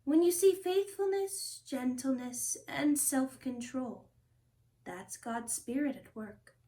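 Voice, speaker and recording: A woman hosting a storytime, reading a children's picture book aloud with expressive, deliberate phrasing. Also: high-pitched. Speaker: storytime host and reader.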